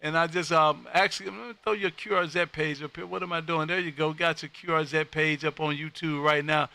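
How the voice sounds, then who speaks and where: High-pitched, bright-voiced loud radio host guy. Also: steady tone, brisk, creaky. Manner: loud, folksy showman. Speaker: amateur radio operator and livestream host. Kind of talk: casual radio contact chat. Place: home radio room.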